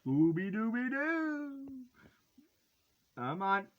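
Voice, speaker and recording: A man presenting a retro collectible in casual, rambling, halting chat, with a playful, hammy, folksy delivery and drawn-out words. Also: high-pitched, sing-song, muffled recording.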